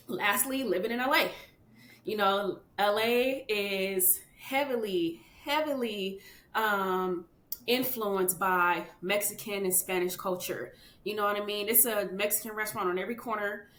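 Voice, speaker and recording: Bright-voiced woman chatting in echoey room. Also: a little slow. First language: English. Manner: chatty, many fillers and "you know what I mean". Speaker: podcast guest, children's book author. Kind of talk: casual interview chat. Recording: echoey room with faint rumble.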